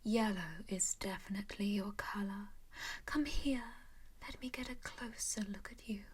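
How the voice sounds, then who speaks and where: A woman voicing a composed, doting female vampire noble in a whispered roleplay, gently coaxing and possessive. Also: mid-range voice, moderate pace, husky. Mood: soothing whisper. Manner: soft whisper throughout; gently possessive and coaxing, calls listener darling and dear.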